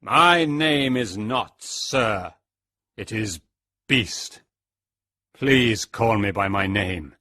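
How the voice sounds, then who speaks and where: Reader doing a big angry beast, low and slow, menacing storybook monster. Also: lively intonation, bright, forceful tone, exaggerated voice. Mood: stern. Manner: slow, heavy, threatening speech. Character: huge angry male beast, stern and menacing. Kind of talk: storybook reading.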